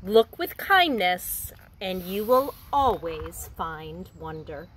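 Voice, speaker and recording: A woman in her thirties, a preschool teacher, reading a picture book aloud to young children outdoors in a gentle, expressive voice. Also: fairly low pitch, slow, a little hiss or hum, rough recording. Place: outdoors in front of a house.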